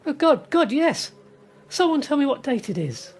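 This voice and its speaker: A woman's voice: high voice, big pitch swings. A woman playing an earnest, frightened young male First World War soldier in a radio drama. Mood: agitated. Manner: halting, earnest confiding. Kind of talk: radio drama monologue and dialogue. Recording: noisy ambience behind the voice.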